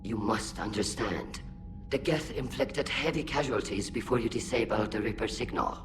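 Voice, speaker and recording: An actress playing an authoritative alien admiral in a video game, formal and stern. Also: female voice, a bass voice, moderate pace, background noise. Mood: grave.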